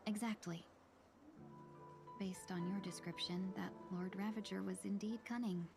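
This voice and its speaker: A woman voicing a courteous yet resolute fox-woman merchant in a video game, speaking formally and eloquently in measured phrases. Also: mid-range voice, steady tone. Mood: composed, reflective. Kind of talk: scripted video game dialogue. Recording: clear music behind the voice. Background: game music.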